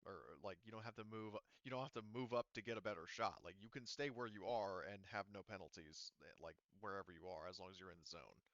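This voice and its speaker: A man's voice: low-pitched, fast, few pauses, a bit bright, no echo. A man acting as game master, explaining tabletop rules in an insistent, explanatory way on a close mic. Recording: slightly dull, lo-fi voice recording.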